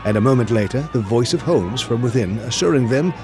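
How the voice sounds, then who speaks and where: An actor playing a loyal gentleman companion who tells the story in an audio drama, measured and earnest. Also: mid-range voice, lively intonation, music in the background, natural voice. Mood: measured narration. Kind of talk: scripted audio drama narration and dialogue.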